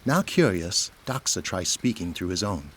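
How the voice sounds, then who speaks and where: A man's voice: deep voice, very animated, normal speed, a bit bright, slight background noise. A man giving scripted narration as the narrator of an audio drama.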